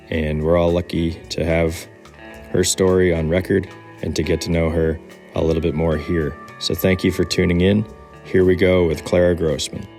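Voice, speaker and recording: A man's voice: low-pitched, a little mellow. A man hosting a podcast, giving a scripted welcome and introducing his guest. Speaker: podcast host.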